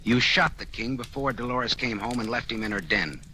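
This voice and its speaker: An actor playing a sardonic, slangy, tough private detective in an old-time radio drama. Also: male voice, mid-range voice, normal speed, pushed and bright. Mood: accusing, sardonic. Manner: sardonic, slangy, hard-boiled delivery. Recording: noisy vintage radio recording.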